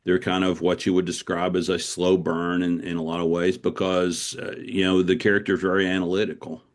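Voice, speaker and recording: A man's voice: deep voice, flat delivery, brisk. A middle-aged man speaking as an author in a casual, reflective interview, circling back as he explains.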